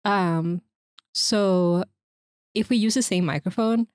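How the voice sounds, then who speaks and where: A soft-spoken woman co-hosting a podcast, talking casually in a quiet voice. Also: mid-range voice, takes it slowly, clear, resonant tone, dry recording, studio quality. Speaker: podcast co-host. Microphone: close podcast microphone.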